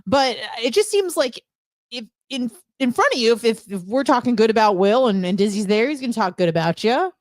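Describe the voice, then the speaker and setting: A woman's voice: a little high, expressive, brisk, no echo. A woman co-hosting a radio show, chatting casually in a blunt, playful way. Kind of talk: casual, opinionated chat.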